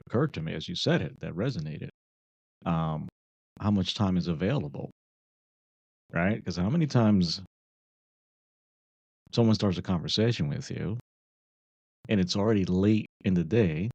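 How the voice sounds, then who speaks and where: A man with a low, gravelly voice explains his points deliberately as a podcast co-host. He keeps it conversational and checks in with his listener. The recording is dry and a little dull. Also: a little mellow, no room sound. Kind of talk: casual advice discussion.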